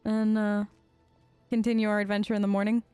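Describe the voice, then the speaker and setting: A woman's voice: mid-range voice, flat delivery, brisk, warm tone, smooth, dry recording, soft background music. A woman doing casual, chatty role-play commentary as she plays a video game in character. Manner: conversational, playful, chatty. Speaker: gamer playing in character.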